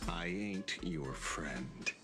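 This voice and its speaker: An actor playing a cynical, mercenary older detective in a video game, blunt, world-weary and sardonic. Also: male voice, sits low, very slow. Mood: curt, menacing. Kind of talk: video game character acting. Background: clear background music.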